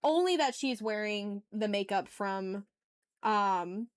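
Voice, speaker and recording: A woman's voice: mid-range voice, lively intonation, takes it slowly, clear, resonant tone, dry recording, clean studio sound. A woman chatting casually and playfully with her viewers as she hosts a live art stream. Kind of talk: casual livestream chat while drawing.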